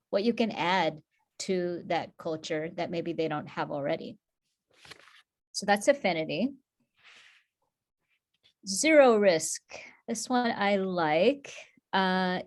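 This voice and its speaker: A woman with a fairly low voice presents a workshop over a video call. She talks informally, with lots of fillers and restarts. The sound is plain video-call audio.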